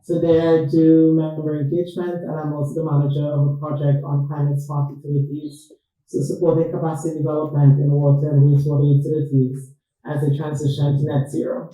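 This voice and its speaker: Alumnus, upbeat, slightly high, dark voice, echoey call audio. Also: male voice, monotone, brisk. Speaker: alumnus, project manager. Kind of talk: casual self-introduction.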